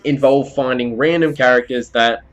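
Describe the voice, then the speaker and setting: A man's voice: medium pitch, fairly even intonation, moderate pace, highs cut off, faint music behind. A man giving a conversational, explanatory video game review as commentary over gameplay.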